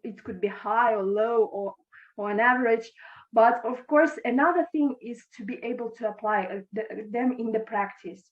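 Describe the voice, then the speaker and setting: A young woman whose first language is Russian, speaking English as an interview guest, halting and restarting her words. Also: a little high. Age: young adult. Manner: halting, with frequent restarts and stammers. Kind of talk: video call interview. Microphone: video call. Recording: echoey, dull lo-fi video call.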